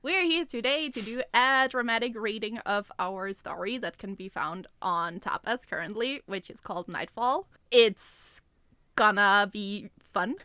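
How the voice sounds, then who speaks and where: A woman's voice: a little high, pushed and bright, smooth, no room sound, studio quality. A Danish woman co-hosting a podcast in casual chat, giggly and self-deprecating, speaking with a Danish accent. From Denmark. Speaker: podcast co-host and co-writer.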